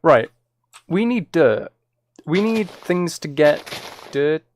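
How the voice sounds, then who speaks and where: A man's voice: fairly high pitch, expressive, takes it slowly, slight background noise. A man chatting casually on a livestream, answering viewers in a rambling, conversational way and repeating his phrases. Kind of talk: casual livestream chat, reading out and answering comments.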